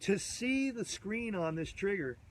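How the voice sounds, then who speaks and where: A man in his fifties, a bit hoarse from a cold, giving an enthusiastic, rambling gear tutorial outdoors on a field, filmed on a phone at arm's length. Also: high-pitched, lively intonation, a little slow, slight background noise. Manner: chatty, enthusiastic, rambling with restarts. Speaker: photography instructor. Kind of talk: casual gear tutorial to camera. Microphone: phone or camera at arm's length.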